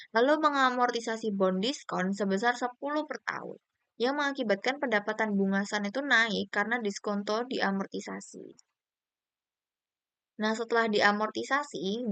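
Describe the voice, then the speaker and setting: Young woman, student presenter in explanatory mode, clear smooth tone, dry sound. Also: medium pitch, fairly bright tone, dry recording. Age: young adult. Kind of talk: explanatory class presentation of slides.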